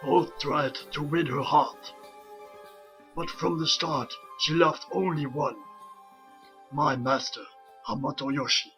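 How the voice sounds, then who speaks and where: A man voicing a wise old rat sensei and father, telling a story in a measured, grave, formal manner for a fan voice comic. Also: a little high, bright, forceful tone, exaggerated voice, low-quality recording. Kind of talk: dramatic comic-book storytelling. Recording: music under the voice. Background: clear background music.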